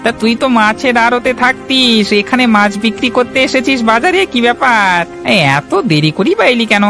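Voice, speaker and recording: A woman's voice: a little high, animated, normal speed, over music, close to an everyday voice. A woman playing a nosy, taunting veteran fish seller in a Bengali cartoon.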